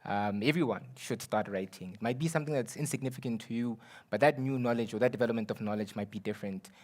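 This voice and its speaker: A man's voice: mid-range voice, fast, a little mellow. A young man, a student representative from South Africa, speaking confidently and persuasively on a panel. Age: young adult. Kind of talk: panel discussion.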